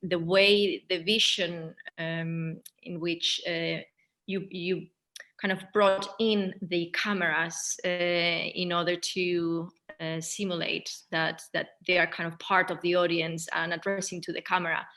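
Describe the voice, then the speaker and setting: A woman with a lowish, bright and forceful voice that stays quite flat in pitch. She moderates a Q&A off the cuff, circling hesitantly toward each question. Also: a little slow. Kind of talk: unscripted interview questioning.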